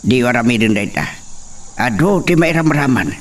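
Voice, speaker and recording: A man's voice: mid-range voice, animated, moderate pace, distant mic, close to an everyday voice. A man playing a firm, commanding and protective father in an Ilocano drama, with a Filipino Ilocano accent. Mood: grave, decisive. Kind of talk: Ilocano radio-style drama acting.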